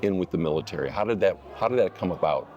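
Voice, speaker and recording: A man's voice: sits low, animated, fast, darkish tone, lo-fi sound. A man hosting a local television interview show, asking questions in a friendly, earnest way. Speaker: host.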